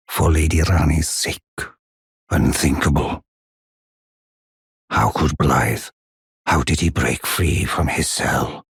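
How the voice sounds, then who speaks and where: Very deep, husky old giant. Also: male voice, dry recording, clean studio sound, full character voice. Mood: sorrowful, remorseful. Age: older. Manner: slow, solemn, archaic courteous phrasing. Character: aged giant blacksmith and war counselor, solemn, courteous, devoted. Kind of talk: formal video game character acting.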